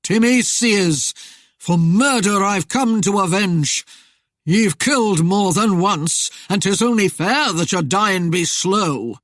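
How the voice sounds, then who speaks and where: A woman's voice: lively intonation, no echo, clean studio sound. A woman voicing a droning, menacing hooded specter of Death. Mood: grim, vengeful. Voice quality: eerie, droning, booming. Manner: slow, commanding, archaic speech. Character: menacing hooded male rider posing as vengeful Death.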